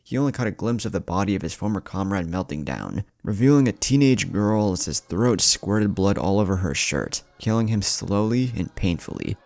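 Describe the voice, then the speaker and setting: A man's voice: fairly low pitch, animated, moderate pace, gravelly, hardly any pauses, a little mellow. A man narrating a scripted fan fiction story.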